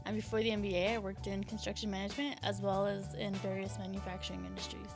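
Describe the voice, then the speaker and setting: A woman's voice: mid-range voice, flat delivery, fast, soft background music. A woman from Nevada, an MBA student, giving confident, fluent interview answers. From Las Vegas, Nevada.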